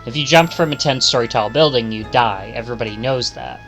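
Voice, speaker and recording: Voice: medium pitch, brisk, a bit bright, faint music behind. A streamer reading visual novel text aloud and adding casual commentary.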